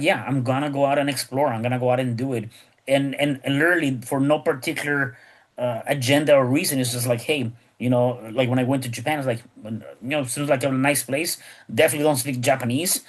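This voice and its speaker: Podcast host, man, chatty and rambling, middle-pitched voice. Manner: rambling, many repeated words and restarts. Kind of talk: casual podcast conversation.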